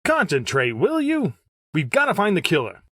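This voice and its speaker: A man plays an eager Roman senator in a comic radio play. His voice sits fairly high and moves around a lot. He talks in earnest slang, recorded dry and close. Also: wildly swooping pitch, moderate pace, fairly bright tone, no echo, exaggerated voice. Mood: agitated. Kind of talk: old-time radio comedy acting.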